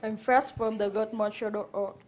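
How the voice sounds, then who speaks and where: A woman's voice: medium pitch, fairly even intonation, normal speed, a little mellow. A young woman reading out statistics from a script in a factual, recited way. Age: young adult. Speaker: student performer.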